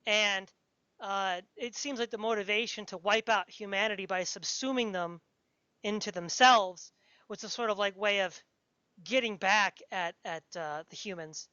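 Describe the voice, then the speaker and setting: Woman, podcast interviewer, casual rambling chat, mid-range pitch, dry muffled recording. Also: moderate pace, sharp tone, no room sound.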